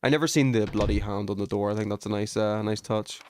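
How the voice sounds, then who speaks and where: A man's voice: fairly low pitch, fairly even intonation, quick pace, a little hiss or hum. A man live streaming a video game with casual, slangy commentary full of swearing.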